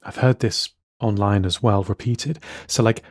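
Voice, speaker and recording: A man co-hosting a podcast, explaining things casually in quick bursts. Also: lowish voice, fairly even intonation, no room sound, studio quality. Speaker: co-host.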